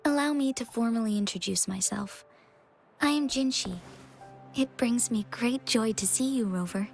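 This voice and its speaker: A woman's voice: a little high, moderate pace, gravelly, faint music behind. An actress playing a composed, gracious young female magistrate in a video game, speaking politely and formally.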